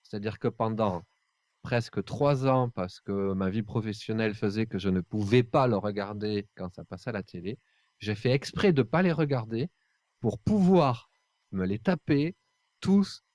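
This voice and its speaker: Male podcast talker, deep-ish and dryly recorded, informal and emphatic in delivery. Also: moderate pace, darkish tone, no room sound. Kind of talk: casual chat.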